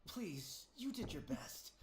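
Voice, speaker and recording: A woman's voice: medium pitch, very animated, takes it slowly, roomy sound, heavy background noise. A woman playing a meek, apologetic funeral parlor employee in a video game, speaking deferentially and hesitantly. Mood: apologetic, doubtful.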